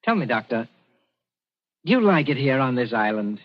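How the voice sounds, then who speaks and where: An actor playing a cool young colonial official, speaking in a dry, unhurried way in a 1940s radio drama. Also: male voice, medium pitch, sing-song, lightly acted. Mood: calm. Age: young adult. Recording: dull 1940s radio broadcast.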